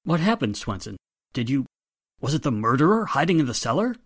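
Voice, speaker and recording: A man playing a well-spoken, faintly condescending gentleman in a radio drama. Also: mid-range voice, very animated, fairly quick, slightly rough. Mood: questioning, brisk.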